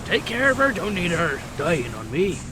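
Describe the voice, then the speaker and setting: A man's voice: high voice, very animated, moderate pace, recorded from a distance, background noise, pitched above the performer's own voice. A man voicing a salty, easygoing tugboat captain in a tabletop game.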